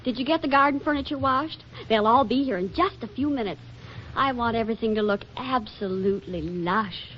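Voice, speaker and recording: An actress playing a chatty, playful and insistent young wife in an arch, emphatic 1940s radio comedy style. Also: female voice, a little high, fairly quick, close to an everyday voice. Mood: bustling, excited. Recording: muffled, noisy 1940s radio recording.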